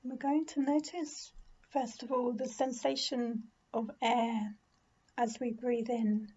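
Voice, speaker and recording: A woman leading a guided meditation in a gentle, soothing, unhurried manner with pauses. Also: on the high side, flat delivery, husky, muffled recording. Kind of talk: guided body scan meditation.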